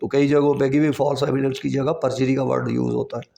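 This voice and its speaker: A man's voice: medium pitch, little pitch movement, vocal fry, warm tone. A man with a Pakistani accent giving an explanatory law lecture in a didactic way, repeating points, posing rhetorical questions and switching into English for quotations. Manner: switches between Urdu and slow English quotations. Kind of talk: explanatory exam-prep lecture. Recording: echoey, reverberant room.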